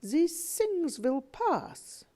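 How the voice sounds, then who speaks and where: A woman reading aloud, voicing a gentle middle-aged mother who speaks softly and placidly. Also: sits high, very animated, drawn-out words, pitched above the performer's own voice, full character voice. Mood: reassuring. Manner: soft, placid, reassuring.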